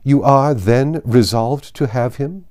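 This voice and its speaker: A man's voice: medium pitch, slow pace, a little mellow, natural voice. A man voicing a haughty, indignant older aristocratic lady in a formal, emphatic audiobook reading. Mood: incensed.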